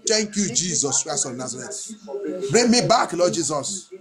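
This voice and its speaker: A man's voice: a little high, lively intonation, a little slow, crowd noise. A male pastor praying and preaching fervently, repeating phrases insistently like a chant as he moves between two languages. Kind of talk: fervent charismatic prayer sermon, switching between French and English.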